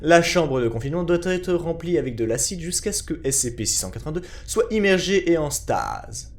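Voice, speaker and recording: A man's voice: on the high side, very animated, fairly quick. A man giving a scripted narration in a formal, clinical report style.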